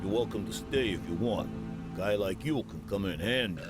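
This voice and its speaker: A man playing a streetwise, gruffly friendly pawn shop owner in a video game. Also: fairly low pitch, brisk, music in the background, close to an everyday voice. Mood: wry, cautioning. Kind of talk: video game cutscene dialogue.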